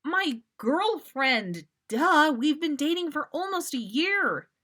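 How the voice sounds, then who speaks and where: A woman's voice: high-pitched, very animated, takes it slowly, a bit bright, pitched above the performer's own voice, full character voice. A woman voicing an earnest, dramatic, easily panicked teenage boy in a story reading. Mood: urgent, indignant. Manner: overwrought, exclaiming.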